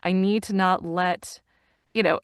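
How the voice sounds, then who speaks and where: A woman in her fifties, an actor and narrator, talking in an animated way over a video call and repeating phrases for emphasis. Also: on the low side, unhurried, clear, resonant tone, no room sound, clean studio sound. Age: 50s. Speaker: guest, actor and audiobook narrator. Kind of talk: casual interview conversation.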